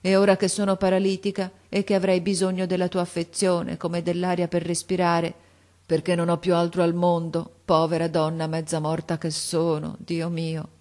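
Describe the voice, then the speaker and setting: A woman's voice: completely flat delivery, moderate pace, a little mellow, lightly acted. A woman voicing a frail, paralysed old grandmother who begs her grandson in a tearful, imploring way, her voice lifted above her own. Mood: pleading. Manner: tearful, imploring, raised pitch.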